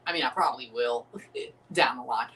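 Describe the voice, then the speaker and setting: A man hosting a livestream watchalong, chatting casually and excitably, with a voice gone a little scratchy from overuse. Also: mid-range voice, moderate pace, recorded from a distance. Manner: rambling, excitable, repeats himself. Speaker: streamer hosting a match watchalong.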